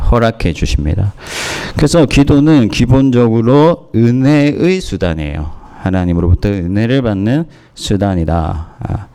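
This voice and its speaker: A man preaching a sermon as pastor, hoarse from a cold, speaking in measured phrases with pauses and fillers. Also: on the low side, mellow. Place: church in Moscow.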